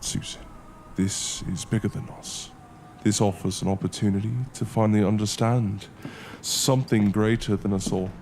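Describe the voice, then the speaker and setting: An actor playing a determined, adventurous scholar in a period horror audio drama, speaking in a formal, old-fashioned, earnest way. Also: male voice, on the low side, steady tone, normal speed, a little mellow, slight background noise, close to an everyday voice. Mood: earnest, insistent. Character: determined, adventurous male scholar exploring ancient ruins.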